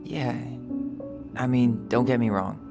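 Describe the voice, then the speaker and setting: A man's voice: medium pitch, fairly even intonation, takes it slowly, vocal fry. A young american guy in a game, kinda wry n guarded, sincere, music playing under him. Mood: reflective. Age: young adult. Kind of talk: naturalistic game dialogue.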